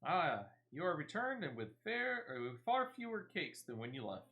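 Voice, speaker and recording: A man's voice: fairly high pitch, big pitch swings, moderate pace, bright, forceful tone, voice pushed up, exaggerated voice. Man reading as a gracious, formal festival official. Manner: formal, ceremonious. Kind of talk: reading game dialogue aloud. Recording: music playing behind the voice.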